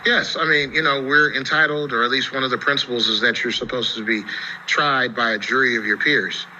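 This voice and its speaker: A man with an American accent and a very bright, sharp voice at a middle pitch gives legal commentary. He explains in a conversational, rhetorical way. Some noise sits behind the voice. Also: steady tone, brisk, highs cut off. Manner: explanatory, rhetorical questions, frequent "you know". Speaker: attorney, TV legal analyst.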